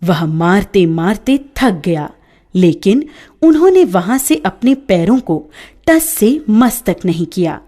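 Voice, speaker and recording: A woman narrating a village story in a measured, expressive way. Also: mid-range voice.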